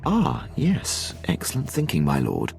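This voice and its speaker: An actor playing a deferential, polite and wry military aide in a video game. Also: male voice, fairly low pitch, wildly swooping pitch, a little slow, vocal fry, faint music behind, heavily acted. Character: smooth-talking, deferential male military aide. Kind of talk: video game dialogue acting.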